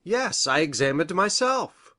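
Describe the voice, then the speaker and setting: A woman acts out a grave gentleman doctor from a detective storybook. He speaks formally and precisely, in measured sentences, with a lively rise and fall in pitch. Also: heavily acted. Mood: matter-of-fact. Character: grave, hesitant male country doctor, troubled witness. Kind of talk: audiobook character reading.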